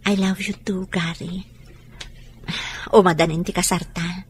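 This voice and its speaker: An actress playing a happy young woman planning her wedding, with a husky, sweet voice and an Ilocano accent. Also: fairly low pitch, little pitch movement, measured pace, a little hiss or hum, natural voice. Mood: loving.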